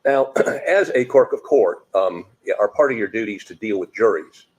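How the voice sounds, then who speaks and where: A man, questioning a witness in court in a brisk, folksy way that often restarts mid-sentence. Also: fairly low pitch, expressive, low-quality recording. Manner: brisk, folksy, self-interrupting. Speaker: attorney.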